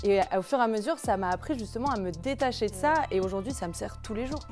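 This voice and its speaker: A woman's voice: mid-range voice, lively intonation, fast talker, a little mellow. A young woman from the Paris region, a TV news journalist, explaining earnestly with many fillers in a casual interview. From Paris region, France. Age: young adult. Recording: music clearly underneath.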